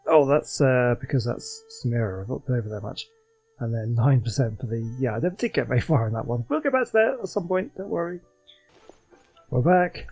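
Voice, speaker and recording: A man's voice: mid-range voice, expressive, brisk, a little mellow, soft background music, low-quality recording. A man giving casual running commentary on the game he is playing. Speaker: gaming video host.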